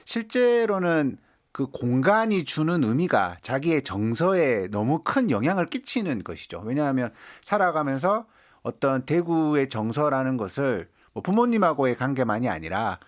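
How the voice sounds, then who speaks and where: A man in his forties from Daegu speaks as a guest on a radio talk show. He explains things conversationally, with a mid-range voice and very lively pitch movement. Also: moderate pace. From Daegu, South Korea. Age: 40s. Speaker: guest, sociologist and writer. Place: radio studio.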